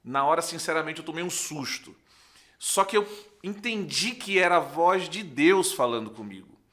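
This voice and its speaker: A young man from Recife speaks with a northeastern Brazilian accent and a sharp, somewhat raised voice. He is a pastor telling his story in a conversational way, playing out the dialogue as he goes. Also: fairly high pitch, normal speed. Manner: animated storyteller, acts out dialogue, often checks with "né". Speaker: pastor, podcast guest. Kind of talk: conversational personal testimony.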